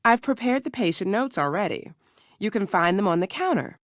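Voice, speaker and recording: A woman playing a careful herbal shopkeeper in a video game, instructive and gentle. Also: mid-range voice, expressive, fairly quick, no room sound, studio quality, natural voice. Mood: welcoming, measured. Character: calm female herbal shopkeeper, patient, careful mentor.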